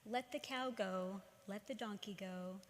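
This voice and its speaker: A commanding storybook wise man, read by a woman gives instructions in calm, measured phrases. Long reverb surrounds the voice, as in a big hall. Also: mid-range voice, natural voice.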